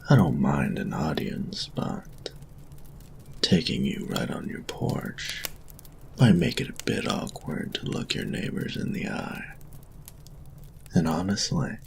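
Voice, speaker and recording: Man acting very deep, gravelly, cocky flirty bully. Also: big pitch swings, in a whisper, exaggerated voice. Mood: flirty teasing. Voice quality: breathy, gravelly low voice. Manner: slow smug drawl, taunting. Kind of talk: intimate roleplay audio acting.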